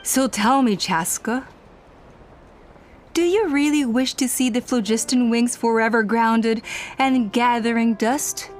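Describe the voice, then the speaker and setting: Brief: middle-aged scheming elder in a game, slightly high voice, calm persuasive command. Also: female voice, takes it slowly, soft background music. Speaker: tribe elder.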